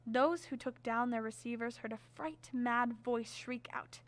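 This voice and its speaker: An actress playing a grave, learned scholar narrating a horror radio drama in formal, deliberate literary language. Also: female voice, fairly high pitch, lightly acted. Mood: grave, ominous. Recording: reverberant hall-like echo.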